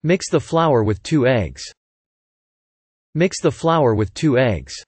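Man reading English vocabulary, slightly husky. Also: medium pitch, animated, darkish tone, no room sound. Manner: clear deliberate reading, each phrase said twice. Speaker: English word reader. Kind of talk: slow repeated vocabulary reading.

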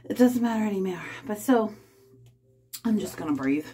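A woman in her fifties vlogging from her bathtub, talking in a rambling, halting way with many fillers. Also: medium pitch, animated, mellow. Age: 50s. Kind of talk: casual personal vlog. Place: small bathroom, in a bathtub. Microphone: phone held close.

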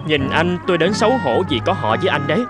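A man's voice: on the high side, lively intonation, fast talker, over loud music, natural voice. A man voicing a boastful, cocky frog in a cartoon fable, talking in a bragging, scornful manner.

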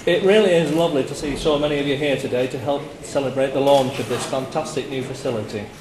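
A man's voice: medium pitch, fast, music in the background. A man in his sixties reading a formal civic speech as mayor into a handheld microphone in a hall. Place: large hall at a podium. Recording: echoey hall with clear background noise.

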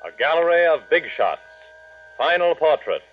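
A man's voice: fairly high pitch, big pitch swings, moderate pace. A man announcing segment titles in the style of a 1940s radio show. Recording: muffled old radio recording with music.

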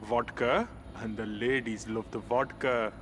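An actor playing Ben, a young man, breezy with friends and calmly reasoning in arguments. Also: medium pitch, a little slow, slight background noise, natural voice. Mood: joking. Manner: breezy banter, then calm and patronizing.

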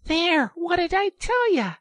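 A brisk, eager rat from a storybook, put on by a narrator. The voice jumps very high and swoops dramatically, urgent and exclamatory, with a slightly husky edge. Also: wildly swooping pitch, no echo, heavily acted. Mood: excited. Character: excitable rat, brisk, kindly but easily exasperated. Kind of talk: animated storybook character reading.

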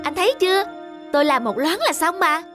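A woman doing a bright eager bear cub. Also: very high-pitched, lively intonation, fairly quick. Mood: eager helpful. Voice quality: bright childlike. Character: eager cheerful little bear cub boy. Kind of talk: cartoon voice acting. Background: music.

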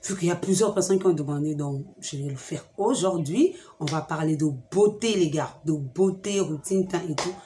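A young woman with a cold hosting a chatty beauty vlog, talking straight to her viewers in a lively, teasing way, at home. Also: low voice, moderate pace, hardly any pauses, roomy sound, faint music behind. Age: young adult. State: has a cold. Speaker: beauty vlogger. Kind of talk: chatty direct-to-camera vlog. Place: room at home with a fridge and sofa.

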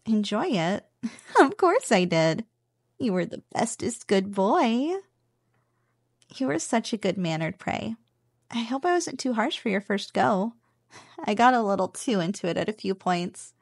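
A woman playing a playful, possessive but affectionate slime girl roommate in an ASMR roleplay, speaking softly and breathily with a teasing manner. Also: mid-range voice, sing-song, gravelly, dry recording, studio quality, heavily acted. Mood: caring, relaxed. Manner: playful, teasing, slow and savoring.

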